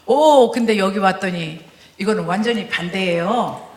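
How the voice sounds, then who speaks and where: A woman from Korea with a Chungcheong accent, giving a lively testimony sermon as a guest preacher, full of fillers and laughs. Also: mid-range voice, normal speed, reverberant. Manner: animated, laughing, many fillers. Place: church sanctuary.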